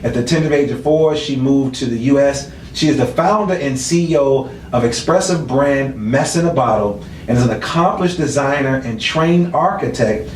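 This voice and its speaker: A man's voice: mid-range voice, normal speed, few pauses, a little hiss or hum. A man hosting a casual interview show, teasing and slangy in his delivery. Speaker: talk show host. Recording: echoey room, distant mic.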